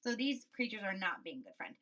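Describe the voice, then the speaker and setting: A woman teacher reading a story aloud to children and commenting on it, expressive, often checking in with her listeners. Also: medium pitch, a little fast, a little hiss or hum. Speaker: school teacher.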